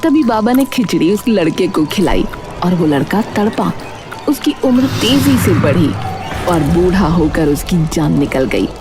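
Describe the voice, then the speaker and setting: A woman narrates a scripted moral tale in a lowish voice with animated, swinging intonation. Music plays clearly behind her as she tells the story. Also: a little slow. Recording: background music under the voice.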